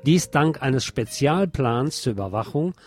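A man's voice: medium pitch, expressive, unhurried, darkish tone. A man hosting a radio programme, reading scripted local news and adding his own commentary.

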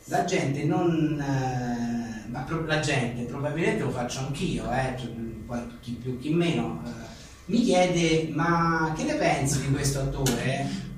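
A man's voice: mid-range voice, slow pace. A man being interviewed as a writer, talking conversationally in rambling, hesitant phrases full of little tag questions. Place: echoey venue at a live book presentation. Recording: echoey room, distant mic, faint noise.

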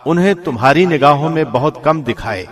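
A man reads a scripture translation from a script in a measured, formal way. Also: fairly high pitch, lively intonation. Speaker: reader of Urdu Quran translation.

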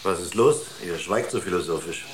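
An earnest space-crew psychologist in a radio drama. His voice sits low, and he explains himself in a searching, natural way. Background noise is clearly audible. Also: lively intonation, moderate pace. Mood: earnest, explaining. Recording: noisy radio drama recording.

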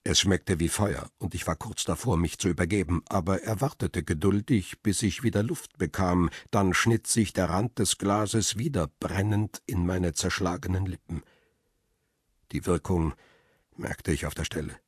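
An actor playing a world-weary photographer who narrates his ordeal in a radio crime play, sombre and deliberate. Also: sits low, breathy edge, no echo, clean studio sound. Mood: sombre, intense. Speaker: first-person narrator. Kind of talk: dramatic scripted narration.

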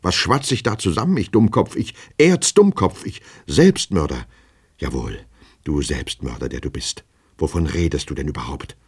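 A man voicing an anxious, flustered gentleman from a storybook, agitated and repeating himself as he despairs. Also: very deep voice, animated, normal speed, breathy edge, heavily acted. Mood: agitated, indignant. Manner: repetitive, stammering, agitated, talking to himself. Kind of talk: dramatic audiobook character reading.

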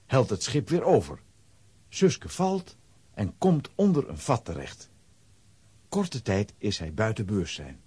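A man narrating a children's radio play in a dramatic, expressive storytelling style. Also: on the low side, husky, dry recording.

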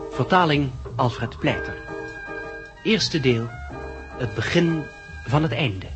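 A man's voice: mid-range voice, animated, slow pace, over loud music. A man announcing titles in the style of a 1960s radio drama.

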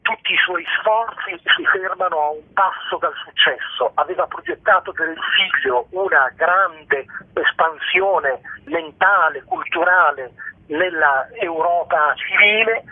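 A man's voice: on the high side, lively intonation, a little slow. A man, a theatre director being interviewed on radio, explaining a play in an erudite, emphatic, literary way. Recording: narrow, echoey telephone line.

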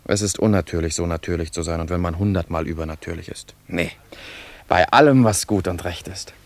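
An actor playing a pompous, earnest and easily affronted young admirer in a theatrical, florid German radio drama style. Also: male voice, deep voice, fairly quick. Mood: scornful musing. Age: young adult.

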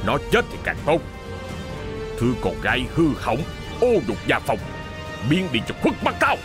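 A man's voice: mid-range voice, huge pitch swings, measured pace, music in the background. A man voicing a furious, cruel rural landlord and father in an audio story, barking threats. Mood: cold, menacing. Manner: roaring, threatening, barked commands.

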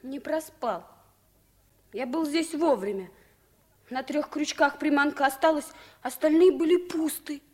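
An earnest young boy in an old radio play, talking high and slow. He keeps insisting and pleading to be believed. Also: darkish tone. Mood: insistent. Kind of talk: 1950s radio play acting.

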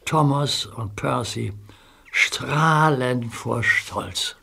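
A man narrating a children's radio play in a measured storytelling manner. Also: mid-range voice, vocal fry, bright, forceful tone, breathy edge. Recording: noisy soundtrack recording.

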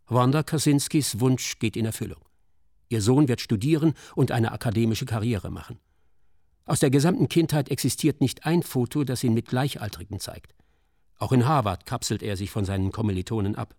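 A man reading scripted documentary narration in a sober, measured, articulate manner. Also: medium pitch, dark tone, dry recording, studio quality.

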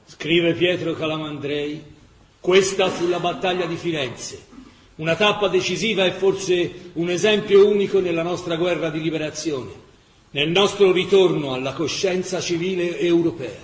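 An actor playing a heartfelt Tuscan storyteller recounting war memories in colloquial, emphatic radio theatre style. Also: male voice, on the high side, normal speed, lightly acted. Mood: solemn. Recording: roomy stage-like echo.

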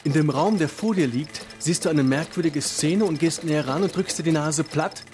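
A man's voice: on the high side, moderate pace, a little mellow. A man telling a radio play story in the second person, describing each action in the present tense. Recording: clear background ambience noise. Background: ambient noise.

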